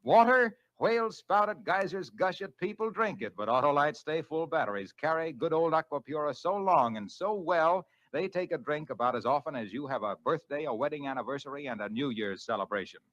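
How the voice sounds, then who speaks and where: A man's voice: a little high, lively intonation, brisk, hardly any pauses, a bit bright, dry recording, muffled recording. An American man delivering a 1940s radio commercial with folksy, exuberant salesmanship, on an old radio recording.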